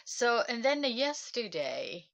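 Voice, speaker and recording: A woman with a Japanese accent, a native Japanese speaker, giving a reflective solo livestream talk in halting English, pausing to search for words. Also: fairly high pitch, big pitch swings, vocal fry, fairly bright tone. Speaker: self-help channel host.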